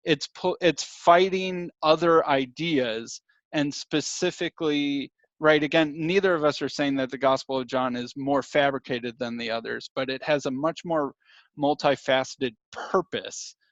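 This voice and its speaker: A man with a slightly high voice hosts a casual intellectual conversation. He explains things in colloquial language and keeps checking that his listener follows. The sound is very dry and a little dull. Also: unhurried, no echo. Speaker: podcast host. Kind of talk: casual theological discussion.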